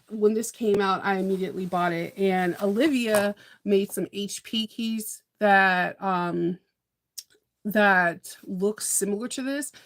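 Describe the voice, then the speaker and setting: A woman's voice: medium pitch, a little hiss or hum. A woman from California chatting casually while she plans her sewing projects, rambling with lots of fillers and frequent laughs. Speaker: sewing vlogger. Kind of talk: casual live-stream chat.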